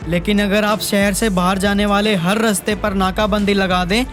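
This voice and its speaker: A man voicing a devoted, earnest young husband in an animated melodrama. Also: high voice, normal speed. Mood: earnest, worried. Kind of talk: animated story voice acting. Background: background music.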